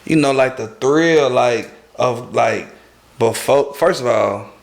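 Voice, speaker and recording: A man's voice: medium pitch, slow pace, creaky. A man chatting casually as a panelist, colloquial and questioning. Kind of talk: casual chat. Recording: roomy echo, crowd in background.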